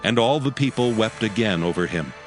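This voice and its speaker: A man giving a measured, scripted narration of scripture. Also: on the low side. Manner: measured, even reading. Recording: music bed under voice.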